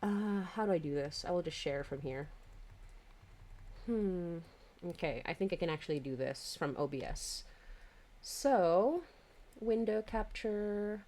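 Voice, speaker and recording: A woman's voice: mid-range voice, darkish tone. A woman hosting a casual livestream, chatting in a bubbly, giggly, rambling way. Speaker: livestream host.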